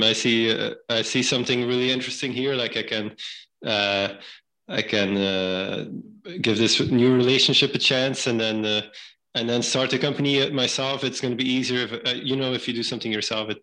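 Hesitant Belgian-born man, bright voice. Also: medium pitch, rough recording. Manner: hesitant, many fillers and restarts. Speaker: guest, game company founder. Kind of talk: casual interview talk.

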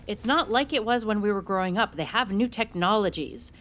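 A woman's voice: mid-range voice, a little fast, a bit bright, natural voice. An actress playing a worried, protective sister and mother in naturalistic, earnest audio drama dialogue. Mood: worried, urging. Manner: earnest, cautioning.

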